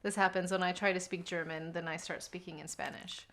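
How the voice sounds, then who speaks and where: An American woman from California leads a relaxed chat. Her pitch sits on the low side, and she asks her questions calmly and steadily. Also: brisk. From California, United States. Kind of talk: casual interview chat.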